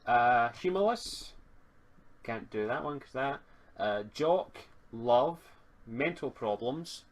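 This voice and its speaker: A man acting as game master, casually explaining tabletop game rules and reading items haltingly from a rulebook. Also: medium pitch, animated.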